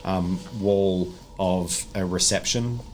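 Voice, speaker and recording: A man's voice: deep voice, fairly even intonation, slow pace, a little mellow, a little hiss or hum. A man narrating an improvised tabletop game as game master, explaining things in a casual, conversational way.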